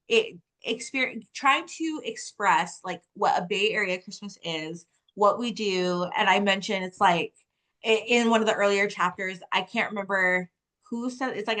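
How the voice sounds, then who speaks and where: Chatty woman with a casual flow. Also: medium pitch. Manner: animated storytelling, heavy use of "like". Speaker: author guest. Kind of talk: casual interview chat.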